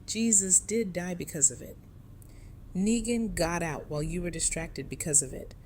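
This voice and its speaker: A woman's voice: lowish voice, moderate pace, a little mellow, a little hiss or hum, natural voice, rough recording. A woman playing a stern, guarded and unyielding security chief in an audio drama. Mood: angry, accusing. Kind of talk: full-cast audio drama acting.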